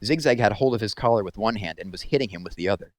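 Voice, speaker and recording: A man's voice: on the low side, animated, fast talker, dry recording. A man reading a children's novel aloud as storybook narration.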